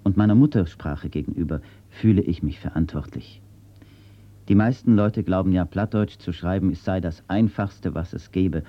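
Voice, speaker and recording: A man, a writer, reading his own words and reciting nature poetry in a slow, measured, solemn way, from an old archive recording. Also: low voice, lo-fi sound. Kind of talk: poetry recitation and read-out statements.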